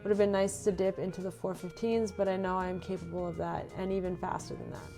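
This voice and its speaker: A woman's voice: lowish voice, flat delivery, quick pace, gravelly, mellow, soft background music. A young woman from Canada, a runner, chatting casually in a vlog and reading out her own race notes. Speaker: runner recapping her racing season.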